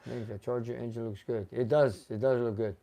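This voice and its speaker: A man's voice: medium pitch, fairly even intonation, a little fast, gravelly, warm tone. A man hosting a casual live car show, reading out viewer names and comments in a chatty way.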